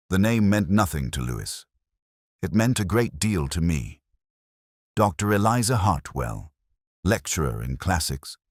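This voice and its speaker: Casting: male actor as erudite, brooding inspector narrating a mystery drama; very low voice, expressive melody, wry and measured. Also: no room sound, studio quality, full character voice. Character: brooding, erudite male detective inspector telling his case. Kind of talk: scripted first-person mystery narration.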